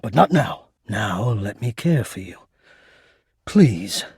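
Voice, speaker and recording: Game role: smitten, timid thermostat-like machine, male. Very breathy, lowish voice; formal, halting, intimate, devoted. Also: very animated, darkish tone, no room sound, clean studio sound, exaggerated voice. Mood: pleading, devoted. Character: shy, devoted, lovesick male home heating-and-cooling system. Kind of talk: dramatic romantic video game voice acting.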